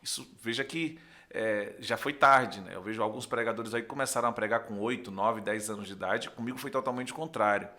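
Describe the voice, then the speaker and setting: A man's voice: on the low side, fairly quick, hardly any pauses. A young man with a northeastern Brazilian accent, a pastor and podcast guest, giving a conversational testimony and acting out dialogue as he tells it. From Recife, northeastern Brazil. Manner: animated storyteller, acts out dialogue, often checks with "né".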